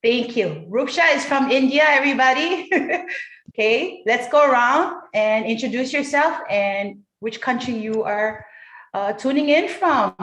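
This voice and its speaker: Woman moderator, slightly high voice, slow chatty delivery, echoey room. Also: lively intonation, a bit bright. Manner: encouraging, chatty, drawn-out delivery. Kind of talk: online forum moderation. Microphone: video call.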